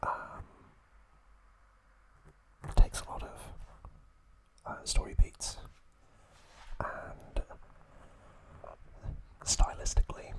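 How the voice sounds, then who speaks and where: A young man hosting ASMR in a breathy, unvoiced whisper, halting and hushed, close to the mic. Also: poor audio. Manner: slow, hushed, halting with long pauses. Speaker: host of an ASMR channel. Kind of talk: ASMR, whispered informal trivia talk.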